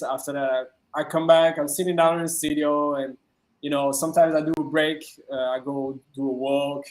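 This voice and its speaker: Guest from France, slightly high voice, halting answers with lots of fillers. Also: male voice, rough recording. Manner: halting, many "uh" fillers and restarts, simple non-native phrasing. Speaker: DJ and music producer guest. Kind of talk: casual interview answers.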